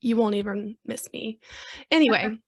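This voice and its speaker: A woman hosting an interview podcast, her voice sitting a little high. She moves from a read-aloud opening into friendly conversation with her guests. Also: steady tone, normal speed, husky. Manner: friendly, scripted intro then conversational. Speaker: podcast host.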